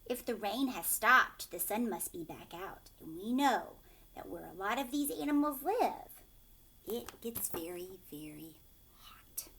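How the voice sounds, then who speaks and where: Slow-paced woman reading to children. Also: mid-range voice, gravelly, husky. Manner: expressive, questioning, emphatic on key words. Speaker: teacher reading aloud to children. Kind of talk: children's storybook read-aloud with questions.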